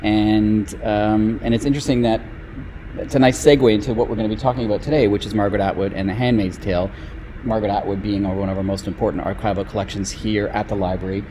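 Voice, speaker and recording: A middle-aged man hosting an interview as a librarian, prompting his guest in a brisk, friendly way, recorded by a distant camera in a library reading room. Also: on the low side, steady tone, noisy background. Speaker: librarian, host. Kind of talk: conversational interview.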